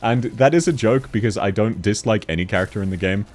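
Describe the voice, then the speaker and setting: Giggly deep-voiced man streaming a game. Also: fast talker, a little mellow. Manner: giggly, reads chat aloud and answers it, frequent laughs. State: stuffy from hay fever. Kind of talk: casual game livestream chat.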